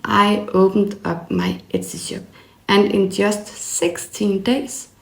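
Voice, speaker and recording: Slow Danish-accented woman, gravelly voice. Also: mid-range voice, darkish tone. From Denmark. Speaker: small business owner and laser file designer. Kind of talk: personal story narration. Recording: echoey room.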